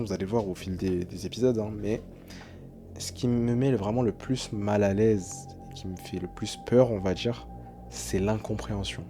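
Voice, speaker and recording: A man's voice: on the low side, background noise. A man giving casual reaction commentary as a streamer, hesitant and often repeating his words. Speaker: streamer reacting to horror videos.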